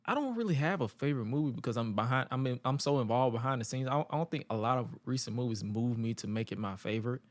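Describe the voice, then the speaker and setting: A man's voice: medium pitch, animated, fast, hardly any pauses, dry recording. A man in his late twenties co-hosting a podcast, chatting casually and joking bluntly with slangy asides. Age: 20s. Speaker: podcast co-host. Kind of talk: casual chat.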